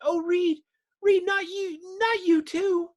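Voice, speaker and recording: A reader doing an anxious, high-pitched young woman, melodramatic. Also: fairly even intonation, slow pace. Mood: horrified, pleading. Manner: breathless, melodramatic, pleading. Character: Susan, anxious tender young woman, frightened and pleading.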